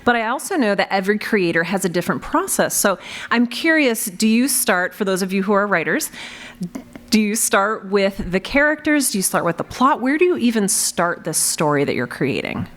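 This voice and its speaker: A woman moderating a live panel discussion, encouraging and animated in her reactions. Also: medium pitch, moderate pace. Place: convention panel room. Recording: noisy live convention panel room.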